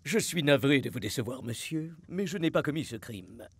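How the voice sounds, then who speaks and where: A man's voice: medium pitch, animated, normal speed, slight background noise, lightly acted. Cartoon dub casting: male actor for formal, polite hotel butler, composed and servile, ceremonious diction.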